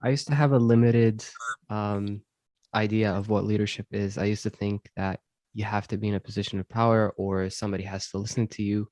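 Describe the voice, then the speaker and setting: A man from Syria, host of a self-improvement podcast, answering interview questions in a thoughtful, measured way with frequent ums. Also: on the low side, steady tone, a little mellow, no room sound. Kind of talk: reflective conversational interview.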